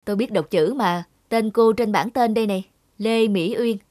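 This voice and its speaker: A woman's voice: mid-range voice, normal speed, darkish tone, faint music behind, close to an everyday voice. A woman playing a carefree, daring young male stuntman in a cartoon, joking and nonchalant. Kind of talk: animated cartoon dialogue.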